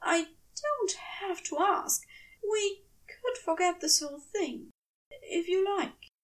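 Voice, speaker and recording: A gentle, earnest male angel from a storybook, acted out by a woman, speaks high and a little away from the mic. The phrasing is prim, fussy and hesitant, lilting up and down with shy hope. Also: animated, takes it slowly, distant mic, full character voice, low-quality recording. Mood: anxious, deflated. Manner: prim, old-fashioned, hesitant wording.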